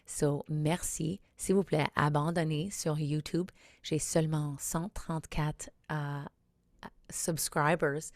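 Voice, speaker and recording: Chatty low-voiced woman podcast host. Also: steady tone, takes it slowly, no echo, clean studio sound. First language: English. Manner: chatty, switches into English phrases, frequent fillers. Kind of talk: casual podcast intro and interview. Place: studio.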